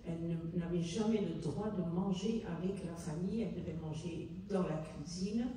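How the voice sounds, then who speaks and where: A woman's voice: on the low side, dark tone. Older woman in an echoey hall. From Netherlands. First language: Dutch. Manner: halting, searching for words, earnest. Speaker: author and guest. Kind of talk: public book talk. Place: large hall at a city hall book fair. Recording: echoey hall from far off, faint noise.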